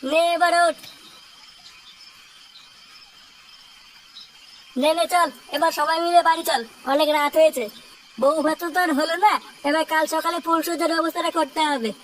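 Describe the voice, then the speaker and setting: A woman's voice: sits high, fairly even intonation, fairly quick, a little hiss or hum, lightly acted, poor audio. A woman voicing a bossy, foul-mouthed young male leader of a gang of village friends in a comic cartoon, brash and scolding. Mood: relieved. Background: music.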